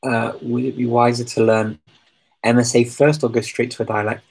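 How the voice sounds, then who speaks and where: A man's voice: medium pitch, flat delivery, normal speed, vocal fry, a little mellow, husky, low-quality recording. A man answering viewer questions in a casual live chat, talking hesitantly with many ums and restarts. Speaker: language-learning streamer answering viewer questions.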